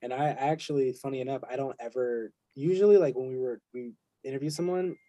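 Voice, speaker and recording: A man's voice: mid-range voice, fairly quick, dark tone, no room sound, rough recording. A man co-hosting a podcast interview, easygoing and chatty. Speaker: podcast co-host. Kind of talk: casual interview chat.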